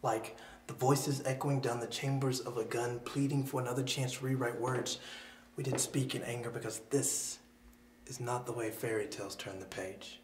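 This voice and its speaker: A man with a medium-pitched voice performs spoken word poetry. His delivery is dramatic and deliberate, shaped by pauses and emphasis. The room adds clear echo, with faint noise behind him. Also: steady tone.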